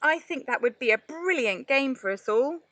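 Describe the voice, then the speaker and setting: A woman's voice: high-pitched, normal speed, no room sound, pitched above the performer's own voice. A woman voicing a small, chattering, eager male monkey in a children's storybook. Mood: hopeful, coaxing. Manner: excitable, chattering.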